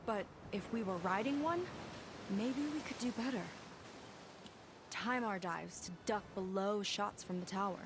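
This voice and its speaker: Young female warrior played by an actress, game drama: candid, earnest, halting delivery, medium pitch, audible ambient sound underneath. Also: background noise, close to an everyday voice. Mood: practical, resolved. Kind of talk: video game cutscene acting.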